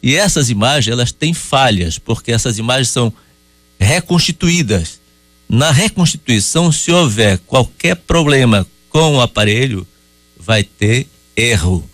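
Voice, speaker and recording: A man answering listeners' medical questions on a radio call-in show, explaining calmly and at a measured pace. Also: medium pitch, dry recording. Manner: calm, explanatory, measured with hesitations. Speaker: urologist guest. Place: radio studio.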